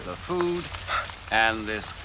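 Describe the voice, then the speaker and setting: Wildly animated, mock-polite robber. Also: male voice, on the low side, slow pace, noisy background. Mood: gloating menace. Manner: mock-courteous, relishing his threats. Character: menacing mock-polite male looter posing as official. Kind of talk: radio drama acting.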